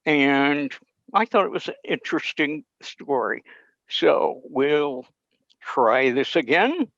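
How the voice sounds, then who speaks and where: A man presenting a session on old radio in an informal, halting, unscripted way over a video call. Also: on the high side, sing-song, fairly bright tone, no room sound. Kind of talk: informal explanatory talk. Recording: dull video-call audio.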